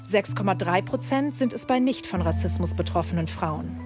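A woman's voice: lowish voice, animated, moderate pace, over music. A woman reporter reading scripted narration for a documentary news report.

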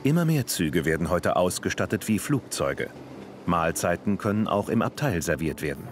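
A man narrating a travel documentary in a calm, even storytelling manner, reading a script. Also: sits low, normal speed. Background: music.

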